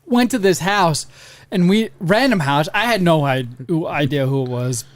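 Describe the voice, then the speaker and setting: A man in his thirties with an American accent, a podcast host telling a profane, animated story in casual chat. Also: a little high, moderate pace, no room sound. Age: 30s. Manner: profane, animated storyteller who acts out quoted voices.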